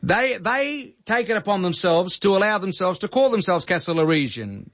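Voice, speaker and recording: A high-pitched man rants bluntly on talkback radio, with heated indignation in every line. His voice comes through a dull, lo-fi archival radio recording. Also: very animated, moderate pace, a bit bright. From Greece. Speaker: radio host.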